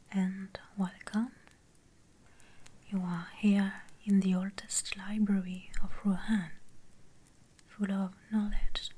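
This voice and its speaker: A woman playing a kind, welcoming keeper of an ancient library, speaking in a soft, breathy whisper for an ASMR fantasy roleplay. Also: medium pitch.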